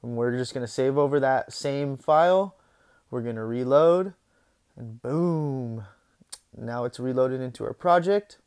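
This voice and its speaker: A young man walks viewers through a software tutorial in a relaxed, chatty way, dropping little exclamations as things work. His voice sounds smooth and clear, with a middling pitch. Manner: relaxed, conversational, says "boom" and "guys" often. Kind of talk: casual screen-recorded software tutorial.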